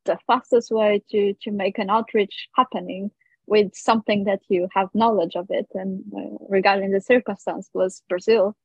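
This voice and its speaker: A woman's voice: medium pitch, fairly even intonation, moderate pace, slightly rough. A woman from Portugal with a Portuguese accent, a guest casually telling her own story. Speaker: guest, missionary. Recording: roomy, dull lo-fi audio.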